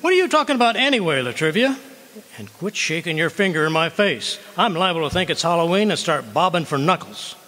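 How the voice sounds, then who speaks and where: An actor playing a blustery, wisecracking husband who argues and stammers in an old-style radio comedy. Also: male voice, on the high side, sing-song, fairly quick, bright, forceful tone. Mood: indignant, blustery. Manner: wisecracking, indignant, hesitant stammers. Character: blustery, argumentative middle-aged husband, wisecracking schemer. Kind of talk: 1940s radio comedy acting, live re-creation. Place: community hall at a home park, live performance. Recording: reverberant hall, live stage performance.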